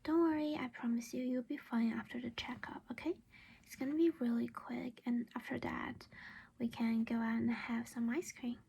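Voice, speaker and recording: Female ASMR roleplayer as a doting vampire mom: breathy, creaky whisper, highish pitch, gentle reassurance, close mic. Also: steady tone, normal speed. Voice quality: breathy whisper with soft creaky voiced patches. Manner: gentle, reassuring, coaxing as if to a child. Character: doting vampire mother caring for her human child.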